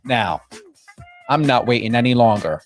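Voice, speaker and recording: A man's voice: medium pitch, animated, moderate pace, faint music behind. A man with a New Jersey accent hosting a radio show, talking in an emphatic, brash, hyped way with repetition and casual swearing. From New Jersey, United States. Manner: emphatic, brash, swears freely, repeats himself. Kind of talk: hyped radio guest introduction.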